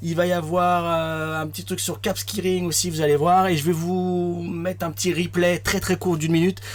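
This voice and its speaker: Un homme qui présente une émission en direct, sur un ton bavard et familier, avec des hésitations. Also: male voice, high voice, little pitch movement. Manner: chatty, quick, with fillers. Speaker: host. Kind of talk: casual live show hosting.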